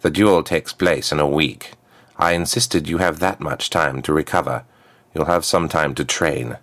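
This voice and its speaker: A man's voice: extremely low pitch, steady tone, unhurried, a bit bright. A man playing a stern, protective male duelling second in a story, blunt and firm. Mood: matter-of-fact.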